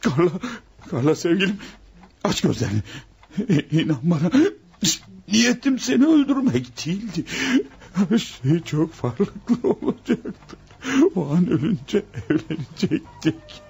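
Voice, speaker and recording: An actor playing a menacing, violent conspirator and anguished lover in a melodramatic radio play. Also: male voice, fairly high pitch, sing-song, measured pace, gravelly, darkish tone, breathy edge, heavily acted. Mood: panicked, anguished. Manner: melodramatic, swinging between threats and pleading. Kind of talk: Turkish radio theatre acting.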